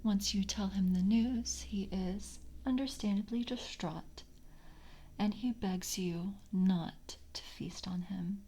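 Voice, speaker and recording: A woman playing a polite, composed vampire coven member in an ASMR roleplay, speaking softly and breathily, often in a whisper, with a gentle, measured interviewer's manner. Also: mid-range voice, slightly rough. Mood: calm, businesslike. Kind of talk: soft-spoken ASMR roleplay.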